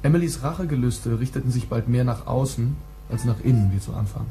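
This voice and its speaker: A man's voice: medium pitch, brisk, warm tone, faint music behind, close to an everyday voice. An actor playing a smug, flirtatious male therapist in a crime radio play, with a teasing, ironic manner. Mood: smooth, sardonic.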